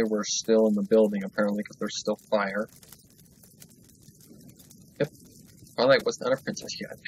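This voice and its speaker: A man's voice: lowish voice, a little hiss or hum. A young man hosting a casual reaction video, chatty and rambling with fillers. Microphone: desktop mic, no headphones. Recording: dull lo-fi home recording. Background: audiobook music playing.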